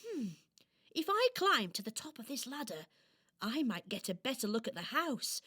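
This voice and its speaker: A woman as an eager, high-voiced little toy doll girl. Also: sing-song, normal speed, sharp tone, slightly rough, exaggerated voice. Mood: determined. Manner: earnest, exclaiming, hesitant. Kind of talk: children's radio play acting.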